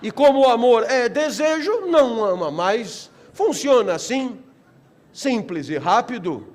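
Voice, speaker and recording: A middle-aged Brazilian man giving a philosophy lecture with theatrical flair, asking rhetorical questions and repeating phrases for emphasis. He speaks in slow, deliberate phrases that build to loud, animated peaks. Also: extremely high pitch. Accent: Brazilian Portuguese.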